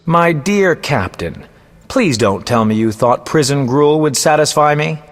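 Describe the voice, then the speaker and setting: Casting: vain roguish game bard, theatrical and florid, fairly high voice with swooping melody. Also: male voice, expressive, unhurried, long reverb tail. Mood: breezy, sly. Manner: theatrical, florid, self-important. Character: flamboyant, vain, roguish male poet and bard. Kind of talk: video game voice acting, storytelling.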